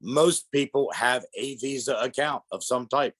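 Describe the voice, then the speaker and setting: A man's voice: medium pitch, a little slow, a bit bright, slightly rough. Congested man giving a presentation. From Pennsylvania. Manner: plainspoken, explanatory, addresses audience as folks. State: congested from a head cold. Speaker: guest speaker on elder fraud. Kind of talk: public presentation.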